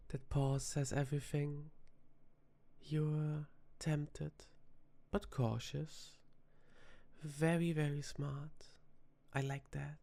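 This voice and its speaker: Slow, soft-spoken flirty vampire bartender acted by a man. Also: on the high side, little pitch movement, creaky, exaggerated voice. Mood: flirty, coaxing. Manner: teasing, unhurried, seductive. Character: flirty, charming, teasing vampire bartender, patient predator. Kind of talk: soft-spoken ASMR roleplay.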